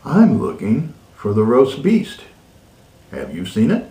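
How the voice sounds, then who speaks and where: A man's voice: medium pitch, wildly swooping pitch, slow, warm tone, far from the mic. A man reading a storybook dramatically, with theatrical, emphatic phrasing. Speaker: co-host and storybook reader. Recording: echoey room.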